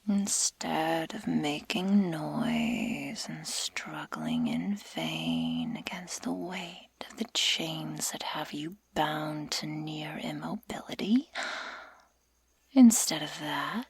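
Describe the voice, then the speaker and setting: A woman acts out a menacing female werewolf captor in a whispered ASMR roleplay. Her voice is lowish, very breathy and husky, in a dry close-up recording. She speaks with slow, taunting deliberation and mock tenderness. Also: sing-song, dry recording, exaggerated voice. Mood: cold, menacing. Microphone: close ASMR microphone.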